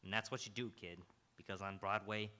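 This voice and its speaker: Man acting a low, hard-boiled radio detective. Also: fairly even intonation, a little fast, pushed and bright. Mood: brooding, terse. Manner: terse, brooding, poetic phrasing. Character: hard-boiled, world-weary New York police detective narrating his case. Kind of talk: old-time radio noir acting, second-person narration.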